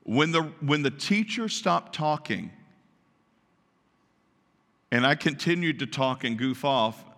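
A man preaching a sermon to his congregation, naturally loud and emphatic, often asking them questions. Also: mid-range voice, expressive, takes it slowly, vocal fry. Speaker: pastor. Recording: long reverb of a large hall.

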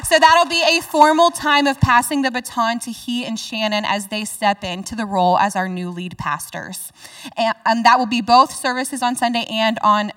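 A woman's voice: fairly high pitch, fairly even intonation, fairly bright tone, far from the mic. A woman giving upbeat church announcements to a congregation and then leading a prayer over the offering. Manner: upbeat, slows to spell out numbers. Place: church sanctuary.

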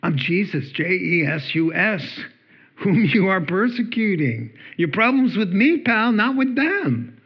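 A man's voice: high-pitched, big pitch swings, measured pace, slightly rough, lo-fi sound, voice pushed up, exaggerated voice. A man voicing a blunt, commanding divine figure. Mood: confrontational. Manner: blunt, forceful, informal. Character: commanding divine male voice, confronting a persecutor.

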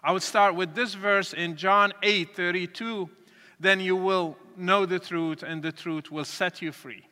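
Man, high bright tone, measured preaching testimony. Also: fairly even intonation. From Morocco. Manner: earnest, deliberate, with pauses and restarts. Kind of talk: testimony talk to an audience. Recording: reverberant hall.

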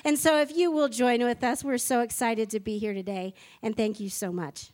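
Woman, church speaker, high bright voice, fervent, climbs to rousing calls. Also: fairly even intonation, moderate pace. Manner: fervent, builds to rousing declarations. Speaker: ministry school leader. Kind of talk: church mission appeal.

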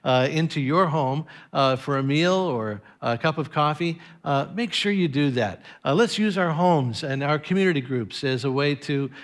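A man's voice: fairly high pitch, normal speed, few pauses. A man, a pastor, interviewing a church member on stage, talking hesitantly with many "uh" fillers.

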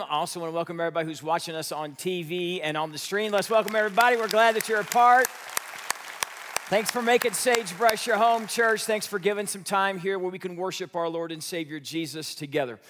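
A man's voice: high-pitched, brisk, fairly bright tone, a faint crowd, a little hiss or hum. An American man in his fifties preaching a conversational sermon, folksy and joking, repeating phrases for emphasis. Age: 50s. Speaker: pastor. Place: church before an audience.

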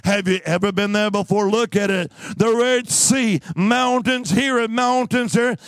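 A man with a strikingly high-pitched voice delivers a fervent sermon to a congregation. He speaks slowly, stretching words for drama and repeating key phrases as he exhorts his listeners. The sound is dry, with very little echo. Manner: dramatic storytelling with drawn-out words. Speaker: preacher.

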